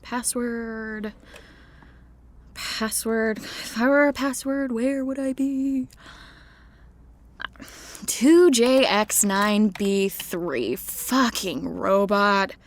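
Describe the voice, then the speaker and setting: Sardonic young woman, higher-pitched. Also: drawn-out words, long pauses. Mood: exasperated. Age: young adult. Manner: dry, sarcastic, casual. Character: sardonic, exasperated young woman with sleep paralysis. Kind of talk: naturalistic audio drama acting.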